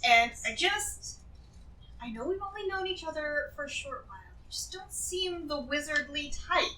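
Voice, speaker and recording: A woman's voice: high-pitched, lively intonation, moderate pace, sharp tone. A woman playing a greedy, shrewd treasure-hoarder in a fantasy role-play, rambling and emphatic as she justifies herself. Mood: skeptical. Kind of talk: improvised tabletop fantasy role-play acting. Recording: echoey room, distant from the mic.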